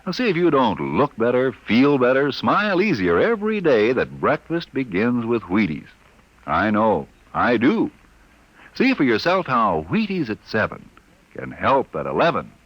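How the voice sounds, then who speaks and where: A man's voice: medium pitch, huge pitch swings, normal speed, dry recording. A man reading a folksy radio commercial in a chummy, confiding way. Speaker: commercial announcer. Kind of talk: folksy scripted radio ad read. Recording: muffled 1950 radio broadcast.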